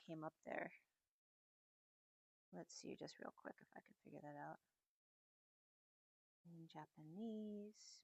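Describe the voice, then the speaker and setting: A middle-aged woman giving a casual, meandering spiritual reading at home, in a soft and musing way. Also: fairly low pitch, no room sound, highs cut off. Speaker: spiritual reader. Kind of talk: casual channeled message reading.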